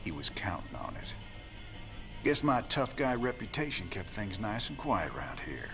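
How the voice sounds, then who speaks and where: An actor playing a tough, weary frontier rebel leader with a Southern US accent in a video game. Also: male voice, on the low side, very animated, unhurried, fairly bright tone, faint music behind, full character voice. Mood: wry, reflective.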